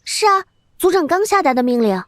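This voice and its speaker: Casting: young servant maid, high voice, eager to please, anxious, animated fantasy. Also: female voice, brisk, no room sound, clean studio sound. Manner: anxious, deferential. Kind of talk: animation voice acting.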